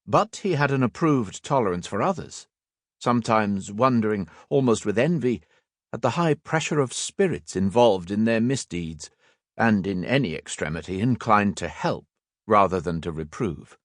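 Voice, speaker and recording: A male audiobook narrator telling a classic tale with measured, expressive storytelling. His pitch rises and falls freely across the phrases. The close studio recording is dry, with no room sound. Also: no room sound. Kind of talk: scripted narration of a classic novel. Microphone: close studio mic.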